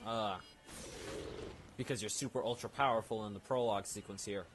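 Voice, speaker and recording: A man's voice: on the low side, steady tone, a little fast. A man giving casual, off-the-cuff game commentary in a rambling, unsure way, often correcting himself. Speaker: let's play gamer. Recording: noisy home game recording.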